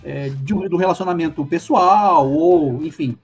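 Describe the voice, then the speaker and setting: Husky Brazilian man explaining slowly. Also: a little high, soft background music. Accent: Brazilian Portuguese. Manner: measured, halting explanations with many restarts. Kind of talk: casual discussion.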